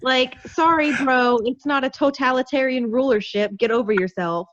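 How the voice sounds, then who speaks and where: A woman's voice: high voice, moderate pace, a bit bright. A woman chatting casually and emphatically, in a slangy way, on a video call. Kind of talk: casual chat. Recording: dull video-call audio.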